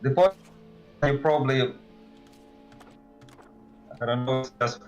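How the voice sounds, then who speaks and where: A man chatting as a developer guest on a livestream, speaking haltingly and politely, often searching for words. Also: mid-range voice, highs cut off, faint music behind. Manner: hesitant, halting, polite and self-effacing. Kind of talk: casual chat on a livestream. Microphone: voice call.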